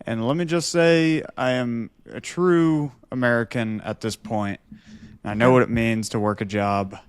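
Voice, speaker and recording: A man co-hosting a podcast in casual comic banter, telling stories in a mock-serious, boastful deadpan. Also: mid-range voice, moderate pace, clear, resonant tone, no echo, clean studio sound. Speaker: podcast co-host.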